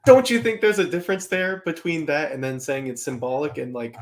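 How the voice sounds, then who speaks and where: A man's voice: a little high, fairly quick. A man in a casual discussion who presses others with pointed questions. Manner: challenging, probing questions. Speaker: podcast guest.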